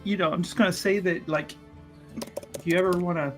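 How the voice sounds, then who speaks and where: Male roleplay gamer, casual improvised narration and dialogue, soft music underneath. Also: high-pitched, steady tone, a little fast. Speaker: tabletop roleplaying player. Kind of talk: casual improvised in-character play. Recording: music behind the voice. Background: background music.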